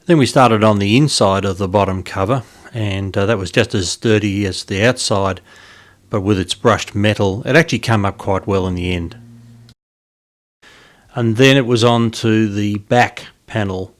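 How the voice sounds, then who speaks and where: A middle-aged Australian man giving an informal narrated walkthrough as a hobbyist, explaining in a measured, hesitant way. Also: fairly low pitch. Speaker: hobbyist presenter.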